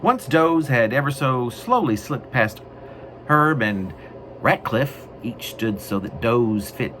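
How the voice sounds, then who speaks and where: A man with a mid-range voice that swings widely in pitch speaks as a poet presenting his own work. His phrasing is deliberate and emphatic. The recording is amateur, with clear background noise. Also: lively intonation, fairly bright tone. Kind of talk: dramatic poetry reading with casual spoken introduction.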